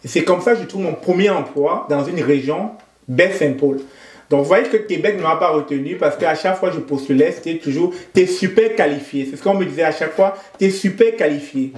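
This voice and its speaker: A man from Cameroon, a guest in an interview, speaking in a thoughtful, measured way with frequent hesitations. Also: fairly high pitch, echoey room. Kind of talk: conversational interview.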